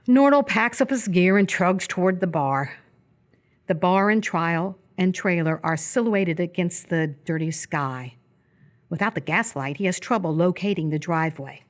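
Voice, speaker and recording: A woman's voice: fairly low pitch, lively intonation, moderate pace. A woman narrating scripted stage action for a live radio theatre piece.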